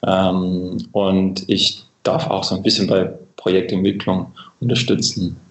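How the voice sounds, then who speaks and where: Low-voiced Englishman, roomy echo. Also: flat delivery, unhurried. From Cambridge, England. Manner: hesitant, searching for words. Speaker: podcast guest, company spokesman. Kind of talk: casual interview chat. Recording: roomy, echoing remote connection.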